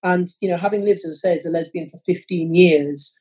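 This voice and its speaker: A man answering interview questions with passionate, quick-flowing arguments. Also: high-pitched, a little mellow. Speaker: interview guest, parent.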